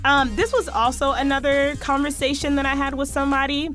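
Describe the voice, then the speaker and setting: A woman hosting her own podcast in a sassy, chatty way, talking straight to her listeners, her voice a little hoarse. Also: sits high, fairly even intonation, brisk, a bit bright, faint music behind. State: slightly hoarse, losing her voice. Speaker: podcast host. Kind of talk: casual solo podcast chat.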